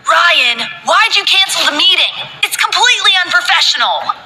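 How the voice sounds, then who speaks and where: A woman voicing a jealous, sneering young male executive in an audiobook story, in a shrill, taunting way. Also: expressive, normal speed, pushed and bright, slight background noise, full character voice.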